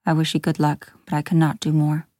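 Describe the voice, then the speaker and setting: A woman voicing a towering, bitter man in a storybook, with a gruff growl and brusque, old-fashioned, foreboding speech. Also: sits low, completely flat delivery, brisk, warm tone, no room sound, clean studio sound, voice pushed down, close to an everyday voice. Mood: sorrowful, stern.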